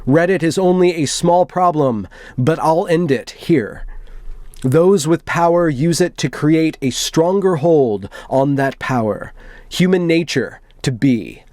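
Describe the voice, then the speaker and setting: A man performs an aggrieved, self-important male forum poster delivering a grand manifesto. The voice is a little high and declamatory, with theatrical weight on the phrases. It is recorded very dry, as for an online video. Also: lively intonation, takes it slowly, darkish tone, no room sound. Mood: bitter, accusing.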